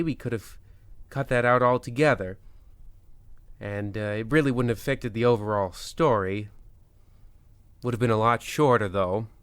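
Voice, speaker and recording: A man plays a mock-solemn host of a vintage-style radio chiller. His mid-pitched voice is recorded close and dry, and he rambles drolly. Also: no echo. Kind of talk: old-time radio host parody.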